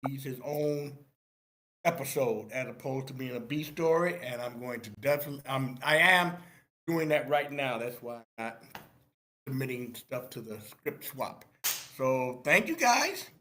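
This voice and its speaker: A man speaking casually and emphatically as a writer in an online meeting, halting and repeating phrases for emphasis. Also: medium pitch, animated, bright, forceful tone. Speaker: screenwriter responding to feedback. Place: online live meeting room.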